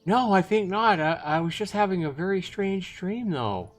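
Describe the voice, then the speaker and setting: Young fantasy heroine played by a man, nervy and indignant, lifted pitch, very animated delivery for a visual novel. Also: measured pace, breathy edge, soft background music, full character voice. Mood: uneasy. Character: frightened, indignant young woman narrating her fantasy tale. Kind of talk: dramatized visual novel reading.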